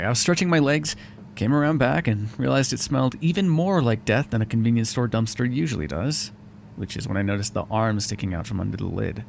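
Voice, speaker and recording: A man's voice: medium pitch, gravelly, slight background noise. A man voicing a sarcastic, foul-mouthed vampire who is grudgingly helpful and hungry, speaking intimately to the listener in an audio roleplay. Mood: wry, sardonic. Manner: sarcastic, wry, exasperated, conversational. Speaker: roleplay voice actor.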